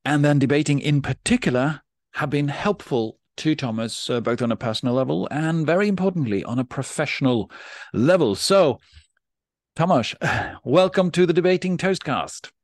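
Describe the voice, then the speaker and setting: A man from Norway hosts a podcast interview. He sounds measured and polite, often restarting his sentences as he frames each question. The recording is dry and close, with no room sound around him. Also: on the high side, lively intonation, no room sound. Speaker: podcast host and debate coach.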